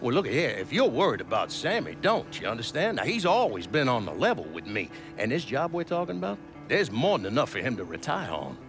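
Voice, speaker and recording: Gregarious older crime boss for cinematic game, profane salesman patter, very lively intonation, music underneath. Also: medium pitch, a little fast, hardly any pauses. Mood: measured, coaxing. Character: gregarious, ruthless, foul-mouthed older male mob boss. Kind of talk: cinematic video game dialogue. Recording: music behind the voice.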